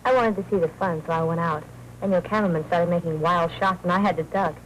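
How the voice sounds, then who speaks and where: Actress doing sly young american noir dame, lowish voice, coy n teasing, hissy old 40s movie sound. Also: female voice, quick pace, lightly acted. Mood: coy, smooth. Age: young adult. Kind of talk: 1940s film noir acting. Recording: noisy 1940s film soundtrack.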